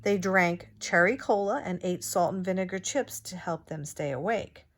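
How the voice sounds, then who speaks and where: A woman reading a children's storybook aloud in a deliberate, expressive teacher's manner. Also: on the low side.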